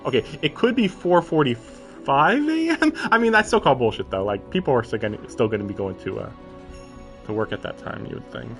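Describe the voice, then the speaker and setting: A man's voice: mid-range voice, expressive, brisk, vocal fry. Casual male streamer reading aloud over music. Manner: informal, questioning asides between read passages. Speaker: live streamer reading a visual novel aloud. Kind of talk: reading narration aloud with casual, puzzled running commentary.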